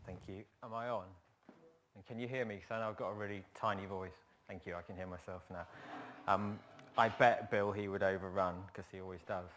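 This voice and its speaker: Wry low-voiced man giving a talk. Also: fairly even intonation, a little fast, a bit bright, slight background noise. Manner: wry, emphatic, repeats points. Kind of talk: conference talk.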